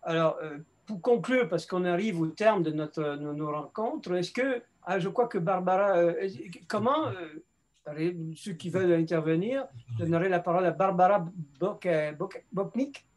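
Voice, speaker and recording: High-pitched male moderator, stumbling through questions to the panel. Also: moderate pace. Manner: stumbling, repeats words while framing questions. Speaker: colloquium moderator.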